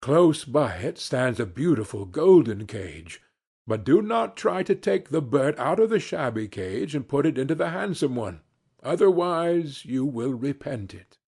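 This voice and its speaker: A man's voice: medium pitch, very animated, unhurried, a little mellow, slightly rough, exaggerated voice. A man voicing a wise, helpful talking fox in a storybook, giving formal, old-fashioned instructions. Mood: firm, advising.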